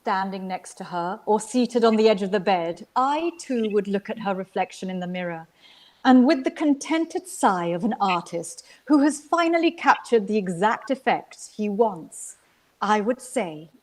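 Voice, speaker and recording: A woman from Sri Lanka reading literary fiction aloud as an actor, with measured, expressive storytelling. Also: mid-range voice. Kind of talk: dramatic literary narration.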